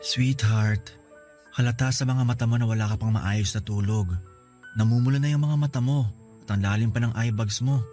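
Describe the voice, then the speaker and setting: A man with a Filipino accent playing a gentle, grieving, reassuring husband in an emotional radio drama. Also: mid-range voice, fairly even intonation, normal speed, faint music behind, natural voice. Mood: weak, caring. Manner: tender, reassuring. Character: gentle, consoling husband grieving a lost baby.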